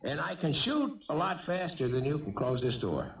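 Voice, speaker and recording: A wary killer on the run, polite threats, halting, swingy pitch, roomy old radio play. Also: male voice, sing-song, creaky, distant mic. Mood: calm menace. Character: wary fugitive cop killer, politely menacing. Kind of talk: 1970s radio drama acting.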